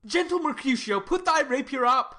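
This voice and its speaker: A woman's voice: high-pitched, animated, moderate pace, a bit bright. A woman playing a lovestruck, conciliatory young nobleman, speaking earnest, placating verse in a Shakespeare audiobook. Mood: urgent. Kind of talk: Shakespeare audiobook dramatic reading.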